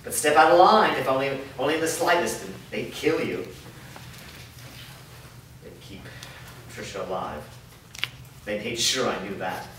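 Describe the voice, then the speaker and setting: A man's voice: medium pitch, a little slow, pauses often, natural voice. A man acting a weary, guilt-ridden blacksmith father in a staged fantasy drama reading. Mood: bitter grief. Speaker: actor. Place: echoey room, recorded from a distance at a staged reading. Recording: echoey room, distant mic, clear background noise.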